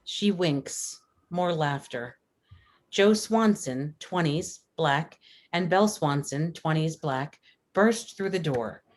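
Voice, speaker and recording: A woman with a deep-set voice narrates a screenplay. She reads scene headings and action lines as the stage-directions reader at a table read. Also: animated, takes it slowly.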